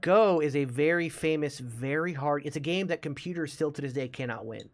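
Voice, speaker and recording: A man's voice: a little high, a little fast. A man chatting casually as a podcast host about films, explaining things with enthusiasm. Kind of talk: casual movie discussion.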